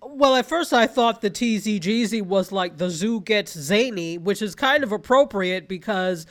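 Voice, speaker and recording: A woman co-hosts a casual TV review chat with a nasal, stuffed-up voice from allergies. Her pitch sits in a middle range, and she banters with easy giggles. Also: expressive, moderate pace. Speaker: podcast co-host.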